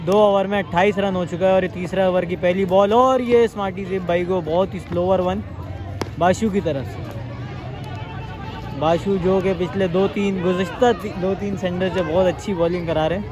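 A young man giving excited live commentary on a local cricket match outdoors, his voice rising sharply on big shots. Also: high voice, normal speed. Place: outdoor sandy ground with a crowd. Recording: crowd noise around an outdoor mic.

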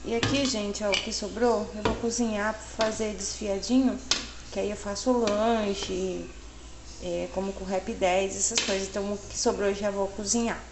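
A woman in her thirties explains each step of her cooking to the camera in a relaxed, chatty way. The small tiled kitchen rings with echo, and clanking pans and cutlery sound throughout. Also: medium pitch, normal speed, background noise. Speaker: cooking vlogger. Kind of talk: casual home cooking vlog.

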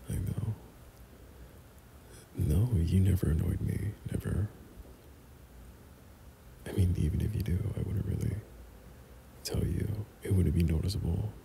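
A young man playing an affectionate boyfriend in intimate ASMR roleplay, breathy and half-whispering, speaking hesitantly. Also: low-pitched, slight background noise, full character voice, low-quality recording. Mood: playful, affectionate. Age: young adult. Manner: slow, hesitant, soft chuckles between phrases. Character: tender, affectionate young boyfriend talking to his partner. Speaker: audio roleplay performer.

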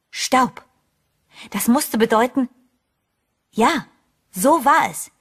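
A woman's voice: medium pitch, husky, lightly acted. An actress playing a frightened heroine who recounts her ordeal in dramatic, suspenseful first-person narration for a horror audio drama.

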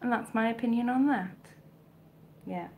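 A woman's voice: a little high, normal speed, darkish tone, slight background noise. Chatty woman with a mild South Welsh accent. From South Wales. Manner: chatty, self-mocking, jokey asides. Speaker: vintage clothing reseller, livestream host. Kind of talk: casual live stream chat reading comments. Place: home bedroom with shelves, indoors. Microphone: webcam or phone close up.

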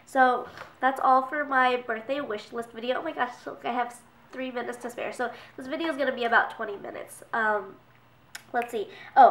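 A young woman with a cold sounds congested as she rambles on, dropping plenty of fillers. Her voice sits a little high. The camera mic hangs back from her, so the bedroom adds an echo. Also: a bit bright, distant mic, slight background noise. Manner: chatty, rambling with many fillers. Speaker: beauty vlogger. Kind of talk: casual talk to camera. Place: small bedroom indoors. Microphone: camera mic at a distance. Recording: roomy, from off the mic.

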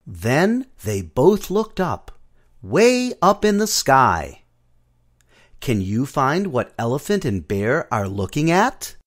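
A man narrating a children's storybook app, reading the story and gently prompting a child to tap and find shapes. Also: medium pitch, sing-song. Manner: slow, sing-song, coaxing prompts to a child. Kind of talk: children's read-along narration.

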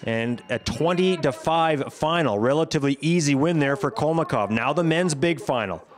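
A man giving scripted highlights commentary on a sports competition as the commentator. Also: expressive, moderate pace.

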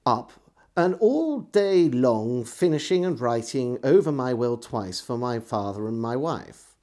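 A middle-aged Englishman reads an old diary aloud. His pace is slow and deliberate, and his pitch moves freely in a theatrical storytelling style. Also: big pitch swings, darkish tone. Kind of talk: dramatic reading of a historical diary. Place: indoors, furnished room with bookshelves.

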